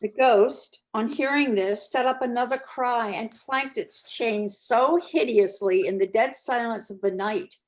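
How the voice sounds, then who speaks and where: A woman with a fairly high voice serves as narrator. She reads scripted storybook prose aloud, in the style of an amateur dramatic reading. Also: measured pace, few pauses, low-quality recording.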